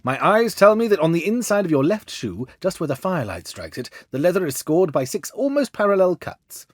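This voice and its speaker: Storybook gentleman detective acted by a male reader: self-assured, analytical, a touch condescending, animated intonation, dry close sound. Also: sits high, fairly quick, no echo, voice pushed up. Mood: confidently explaining. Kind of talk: storybook character dialogue.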